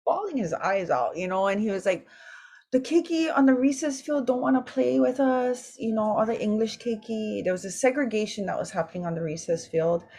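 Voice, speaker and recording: A woman's voice: medium pitch, brisk, husky. A woman hosting a casual roundtable, telling stories emotionally and mixing in Hawaiian words. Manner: warm, emotional storytelling with Hawaiian words mixed in. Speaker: roundtable host and mother. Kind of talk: casual talk story.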